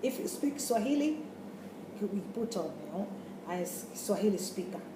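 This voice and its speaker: A woman, a school principal, answering interview questions in a hesitant way with many pauses and restarts. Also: medium pitch, lively intonation. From Tanzania. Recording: echoey room, far from mic, noisy.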